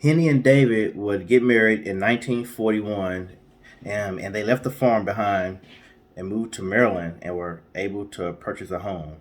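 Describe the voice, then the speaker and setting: A man's voice: fairly low pitch, normal speed. A man co-hosting a storytelling show, reading a story aloud in a casual, conversational way. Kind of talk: casual storytelling read from notes.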